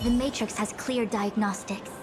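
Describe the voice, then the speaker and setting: A woman playing a composed, scholarly young master diviner in a video game, speaking formally in measured, articulate phrases. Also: medium pitch, steady tone, background noise, natural voice. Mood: formal, inquiring. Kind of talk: scripted video game dialogue. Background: background music.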